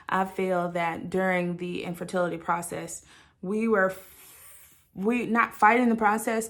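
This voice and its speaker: A woman sharing a personal story with listeners in a candid, halting, reflective way, often qualifying what she says and voicing her own thoughts expressively. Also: fairly low pitch, vocal fry. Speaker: host of a personal channel.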